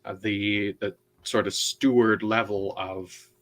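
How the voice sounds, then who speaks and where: A man on a book club panel, explaining in a halting way with many restarts and checks of "right?". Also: lowish voice, flat delivery, fairly bright tone. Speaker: co-host and panelist. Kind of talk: casual online book discussion.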